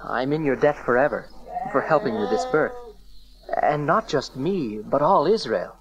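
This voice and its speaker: A man acting a gentle, earnest new father in a dramatized audio Bible. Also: medium pitch, expressive, normal speed, lo-fi sound, close to an everyday voice. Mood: reverent, earnest.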